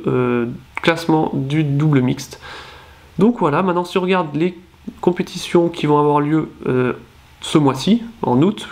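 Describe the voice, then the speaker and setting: A man's voice: fairly high pitch, a little mellow. A young man from France with a cold, hosting his own channel and reading through rankings in a casual, hesitant way, full of fillers and self-corrections. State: nasal voice from a cold. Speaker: channel host.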